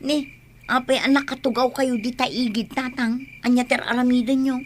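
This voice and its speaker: A woman's voice: high-pitched, fairly even intonation, moderate pace, slight background noise, close to an everyday voice. An actress playing a young village daughter exasperated with her father in an Ilocano radio comedy drama, with an Ilocano accent. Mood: puzzled, scolding.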